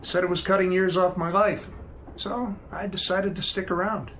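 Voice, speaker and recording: An American actor playing a folksy, matter-of-fact train conductor in a radio drama, plain-spoken and chatty. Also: male voice, sits high, fairly even intonation, normal speed, fairly bright tone, a little hiss or hum, low-quality recording.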